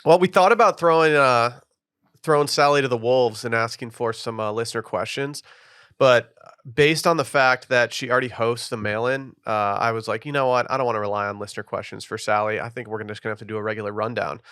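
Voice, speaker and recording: Casual male podcast host, bright voice, dry close mic, rambly delivery. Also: mid-range voice, fast talker, clean tone, no echo. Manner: loose, rambling, lots of fillers. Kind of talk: casual podcast chat with ad reads.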